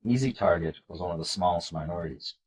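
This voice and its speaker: A man with a congested, nasal voice from a cold, hosting a video and reading slides aloud in an earnest, measured way. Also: low-pitched, darkish tone, slight background noise, low-quality recording. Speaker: political video host.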